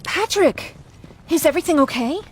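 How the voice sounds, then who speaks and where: A woman's voice: high voice, expressive, moderate pace. An actress playing a compassionate, principled woman resistance fighter in a video game, with a sincere, emotive delivery. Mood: insistent. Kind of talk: video game dramatic acting.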